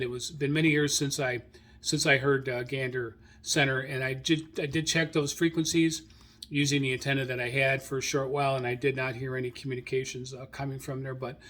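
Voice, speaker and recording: A middle-aged or older American man hosting an informal radio hobby monologue, rambling haltingly and repeating phrases. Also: mid-range voice, level tone, sharp tone. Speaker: radio hobby show host.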